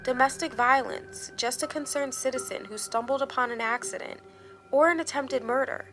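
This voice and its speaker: A woman narrating a scripted dramatic first-person story in a measured, expressive storytelling manner. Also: high voice. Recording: background music under the voice. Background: music.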